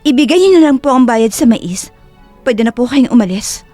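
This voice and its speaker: A high-pitched young market vendor, acted by a woman, respectful yet guarded in manner. She speaks in clipped, courteous phrases in an audio drama, with faint music behind her. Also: animated, normal speed, warm tone. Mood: irritated, guarded.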